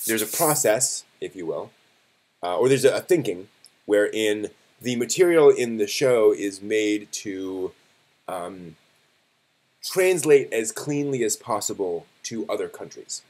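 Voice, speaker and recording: A man hosting a live stream, talking casually and conversationally, thinking aloud in a halting way. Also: on the low side, darkish tone.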